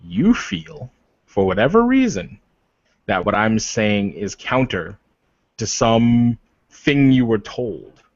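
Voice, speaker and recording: A man's voice: mid-range voice, sing-song, slow pace, no room sound, heavily acted. A man playing a proud, protective werewolf leader in improvised roleplay, arguing insistently with repeated phrases and pointed questions. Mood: earnest, impassioned. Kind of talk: improvised in-character roleplay dialogue. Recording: dull, lo-fi voice recording.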